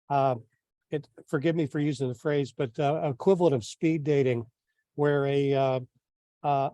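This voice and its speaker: A man taking part in a group teleconference, talking in a measured, courteous, businesslike way. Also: mid-range voice, dark tone, no echo. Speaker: meeting participant. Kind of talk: discussion on an online group call. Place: remote teleconference.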